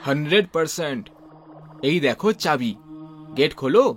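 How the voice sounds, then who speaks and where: Young husband for audio drama, played by a man: affectionate, teasing, higher-than-average pitch. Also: expressive, normal speed, faint music behind, lightly acted. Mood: pleased. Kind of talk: dramatized horror story acting.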